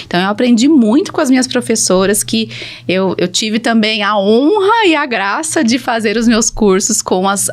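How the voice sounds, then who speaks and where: A woman's voice: medium pitch, a little mellow. A woman with a Brazilian accent explaining her work as a speech therapist in conversation, posing questions and then answering them. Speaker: speech therapist, podcast guest.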